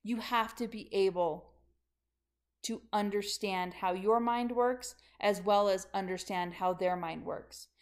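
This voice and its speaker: A woman's voice: medium pitch, slow. A middle-aged woman coach telling her own story in a candid, emphatic podcast monologue, speaking straight to her listeners as friends.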